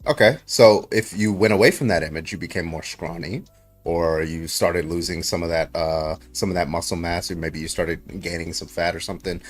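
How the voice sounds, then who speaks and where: Man debater, low voice, pushy rhetorical questioning, livestream call with faint music under it. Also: fairly even intonation, normal speed. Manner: pressing, repeats questions, rhetorical tags. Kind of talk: heated online debate.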